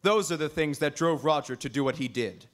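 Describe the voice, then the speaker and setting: A man plays an amateur detective in an old radio mystery. The voice sits a little high for a man. The delivery is composed and assured, reasoning things out in measured phrases. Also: close to an everyday voice. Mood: assured, serious. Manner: measured, explanatory. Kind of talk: old-time radio drama acting.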